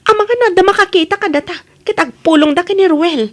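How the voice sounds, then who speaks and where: A woman's voice: very high voice, fairly quick. A woman playing a hard-up, defensive and pleading young working woman in a comedy radio drama, with a Philippine accent. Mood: insistent.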